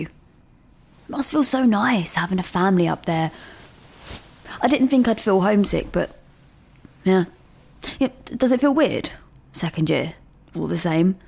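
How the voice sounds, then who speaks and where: A chatty young student, played by a woman, hungover and casual. Her voice sits low with a gravelly fry, and she fills the note with slangy asides. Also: darkish tone. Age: young adult. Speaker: new student leaving a voice note.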